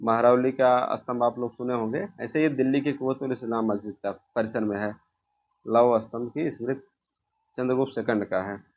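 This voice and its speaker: A man's voice: mid-range voice, steady tone, moderate pace. A man giving an informal exam-prep lesson, explaining by asking questions and answering them himself. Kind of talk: informal lesson walking through quiz questions. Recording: dull, muffled lo-fi sound.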